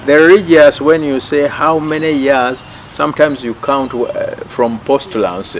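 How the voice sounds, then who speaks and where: Older ugandan priest, low gravelly voice, lots of uhs, noisy spot with traffic going by. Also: male voice, steady tone, measured pace. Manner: hesitant, many fillers, chuckles often. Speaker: missionary priest. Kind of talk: interview.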